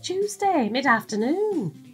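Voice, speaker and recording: Teasing, affectionate British detective's wife, higher voice, very leisurely gentle delivery, for audio drama. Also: female voice, big pitch swings, takes it slowly, faint music behind, full character voice. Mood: warm, interested. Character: warm, teasing detective's wife, easily delighted. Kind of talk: naturalistic radio drama acting.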